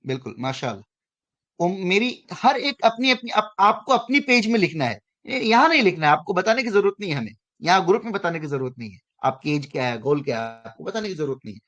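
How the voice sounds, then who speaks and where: A man's voice: high voice, big pitch swings, a little fast, fairly bright tone, muffled recording. A man in his thirties teaches a finance class to students online. He talks to them directly, checks again and again that they follow, and fixes his own slips as he goes. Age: 30s. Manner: repeats and checks in with students, self-correcting. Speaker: finance course instructor.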